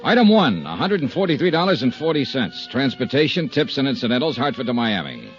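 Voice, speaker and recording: An actor playing a breezy, wry American insurance investigator in a 1950s radio drama, speaking briskly in clipped phrases. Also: male voice, medium pitch, very animated, faint music behind, natural voice. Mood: wry, businesslike. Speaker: lead actor and narrator of radio detective serial. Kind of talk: 1950s radio detective acting. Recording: dull 1950s radio recording.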